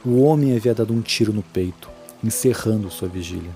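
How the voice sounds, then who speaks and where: Male narrator, low pitch, dark mellow tone, solemn, dramatic, horror audio drama, music behind. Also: a little fast.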